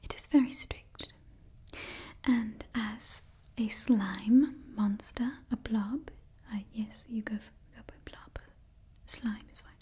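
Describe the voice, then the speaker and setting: A woman in her twenties performing an ASMR roleplay in a breathy whisper mixed with soft speech, gently repeating phrases close to the mic. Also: on the high side, fairly even intonation, takes it slowly. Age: 20s. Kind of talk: ASMR roleplay, party host addressing the listener. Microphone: close microphone, near camera.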